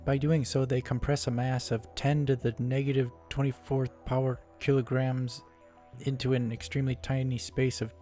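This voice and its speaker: A man's voice: medium pitch, little pitch movement, moderate pace, warm tone, voice pushed up, natural voice. A man acts out a young woman scientist from a visual novel game. The voice is lifted well above his own and sounds flustered and earnest, with faint music behind it. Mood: explaining. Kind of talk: reading game dialogue aloud in character.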